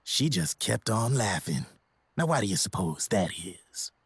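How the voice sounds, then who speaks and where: An actor playing a streetwise, glib American arms dealer in a video game, with a slangy, theatrical storytelling manner. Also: male voice, lowish voice, big pitch swings, a little slow, husky, no room sound, full character voice. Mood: grim storytelling. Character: streetwise, glib arms dealer telling grim war stories. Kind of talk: video game cutscene voice acting.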